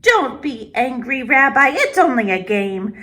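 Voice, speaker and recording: A doting little old grandmother from a storybook, chatty and motherly as she fusses over her guest, put on by a woman reading aloud. Her voice sits high and swoops up and down in a bright, clear tone. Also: sing-song, a little slow, exaggerated voice. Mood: fussing. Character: kindly fussing old grandmother, small and warm-hearted.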